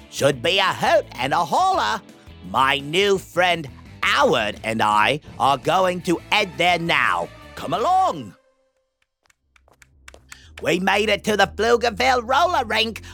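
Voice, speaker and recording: Pompous, bright, theatrical put-on posh character. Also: mid-range voice, heavily acted. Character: pompous, theatrical disguised hero posing as London ex-banker Duke Dazzle. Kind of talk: children's audio comedy drama.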